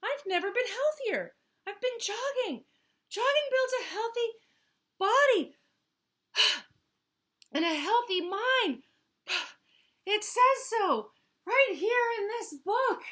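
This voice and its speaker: A woman doing a loud, breathless jogging hippo. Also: very high-pitched, expressive, takes it slowly, long pauses, pitched above the performer's own voice, full character voice. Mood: panting. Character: pushy health-crazed male hippo.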